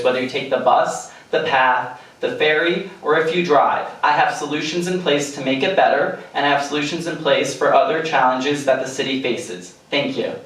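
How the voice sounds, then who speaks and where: A man's voice: medium pitch, fairly bright tone. Man introducing himself in an echoey hall. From Italy. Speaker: political candidate. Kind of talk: opening statement at a debate. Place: theater stage before a live audience. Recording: echoey theater from far off.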